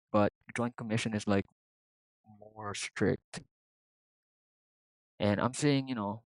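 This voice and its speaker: A man's voice: fairly low pitch, no room sound, poor audio. A young man with a Filipino accent talking through his plans in a casual vlog, rambling with many fillers and restarts. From Philippines. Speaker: vlogger.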